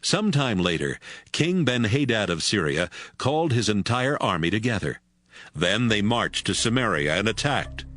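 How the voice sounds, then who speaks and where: A man's voice: on the low side, sing-song, moderate pace, fairly bright tone. A man narrating a dramatized Bible audiobook from a script. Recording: music behind the voice.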